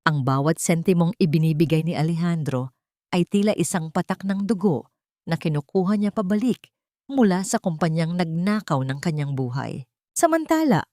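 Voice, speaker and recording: Woman narrator, lowish voice, dry sound. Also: dark tone, no echo. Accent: Filipino. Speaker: audiobook narrator. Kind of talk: dramatic scripted story narration.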